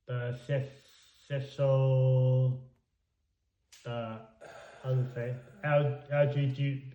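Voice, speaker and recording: Man learning english, hesitant, searching for words, very slow, dull roomy sound. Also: medium pitch, little pitch movement. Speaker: English student. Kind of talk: casual one-on-one language lesson.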